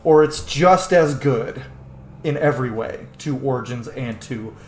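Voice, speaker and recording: A man's voice: medium pitch, measured pace, slight background noise. Opinionated male gamer in a roomy space. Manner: opinionated, sweary, emphatic. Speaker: host of a game roleplay series. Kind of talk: casual gaming commentary. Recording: roomy sound with faint game music.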